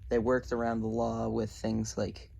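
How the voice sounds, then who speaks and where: A man presenting a scripted question-and-answer narration, reading aloud a little haltingly. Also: medium pitch, completely flat delivery, creaky, mellow. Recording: noisy amateur recording.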